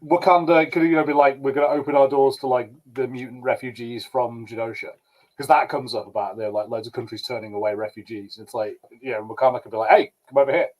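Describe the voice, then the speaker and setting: A man's voice: medium pitch, steady tone, fast talker, a little mellow, poor audio. A man co-hosting a podcast, talking casually with a hesitant, stammering delivery. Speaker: podcast co-host. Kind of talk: casual pop culture review chat.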